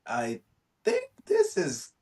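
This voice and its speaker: A man's voice: a little high, wildly swooping pitch, drawn-out words, mellow, recorded from a distance. A man from Jamaica chatting casually and telling stories in a slangy way, often repeating his words and phrases. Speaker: podcast speaker. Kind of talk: casual chat, storytelling.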